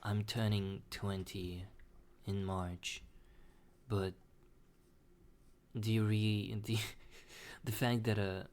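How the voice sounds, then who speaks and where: Low, flat-voiced young man, halting. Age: young adult. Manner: halting, hesitant, with many restarts. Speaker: vlogger. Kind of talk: personal confessional monologue.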